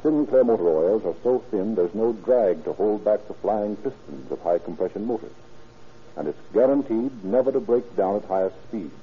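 A man's voice: on the low side, expressive, normal speed, breathy edge. A man working as a radio announcer, reading scripted sponsor copy in a formal, salesmanlike 1930s style. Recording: tinny, muffled 1930s radio recording.

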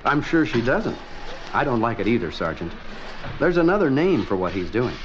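A man's voice: mid-range voice, very animated, moderate pace, lightly acted. Stern officer played by a man, varied intonation, dull radio-spot recording with faint noise. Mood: concerned. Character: firm military officer, serious supervisor. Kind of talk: scripted public service spot acting.

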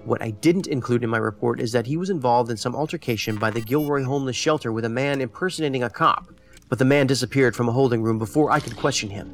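A man's voice: mid-range voice, fast, natural voice. A man playing a dry-witted, self-assured federal agent in an audio drama, speaking in a terse, matter-of-fact way. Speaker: federal investigator. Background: faint music.

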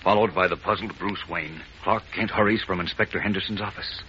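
A man's voice: deep voice, fairly even intonation, a little fast, bright, forceful tone, a little hiss or hum. A man narrating a 1940s radio serial in a dramatic scripted style. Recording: muffled vintage radio broadcast.

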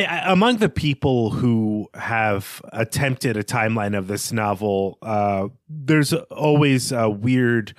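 A man's voice: mid-range voice, dry recording, studio quality. A man co-hosting a podcast, talking through a book in a conversational, analytical way. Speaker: podcast co-host. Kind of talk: casual literary discussion.

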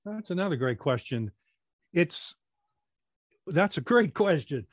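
Man, projecting voice, measured explanations, frequent restarts, dry close sound. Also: fairly high pitch, sing-song, dry recording. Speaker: guest, corporate trainer. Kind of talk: conversational interview.

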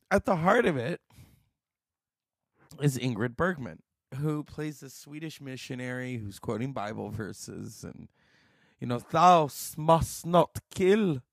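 A man's voice: medium pitch, slow, gravelly, long pauses, dry recording, studio quality. A man hosting a film show, chatting enthusiastically about old movies in a casual way.

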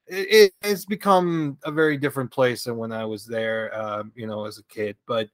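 A man's voice: mid-range voice, steady tone, normal speed, no echo. A man from Venezuela, a podcast guest telling stories in a rambling, chatty way with frequent laughter and restarts. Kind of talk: casual conversational storytelling.

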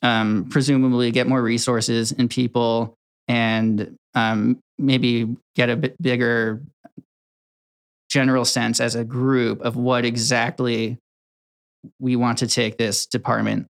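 Young man, from Connecticut, casual interview guest, average pitch, filler-heavy rambling. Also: takes it slowly. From Connecticut, USA. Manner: relaxed, rambling, many ums and restarts. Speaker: video editor, interview guest.

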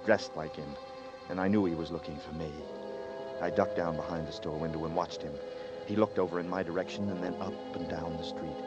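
A man's voice: low voice, lightly acted. An actor playing a tense, hunted man who narrates his ordeal in terse, grim first person, in a 1940s radio drama. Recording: dull 1940s radio broadcast with music.

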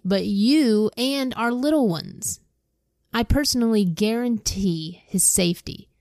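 A woman's voice: medium pitch, a little mellow, dry recording, natural voice. A woman reading the part of an earnest grown son who insists to his father.

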